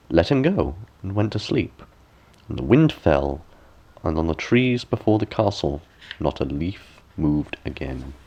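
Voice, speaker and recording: A man narrating a bedtime fairy tale as a storyteller, with expressive, measured and deliberate phrasing. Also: low-pitched, highs cut off. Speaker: storyteller, audiobook reader.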